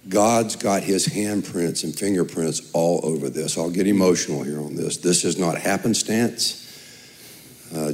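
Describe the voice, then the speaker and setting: A man with a raspy voice, speaking earnestly and from the heart as elder chairman addressing a church congregation. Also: low-pitched, darkish tone. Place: church sanctuary.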